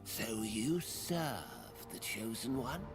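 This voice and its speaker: Guy doing a cryptic old man, grave and formal, audio drama, slow lines over music. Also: medium pitch, lively intonation. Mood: cool, probing. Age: older. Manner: theatrical, grave, archaic formal phrasing. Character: imperious, cryptic old male keeper of knowledge.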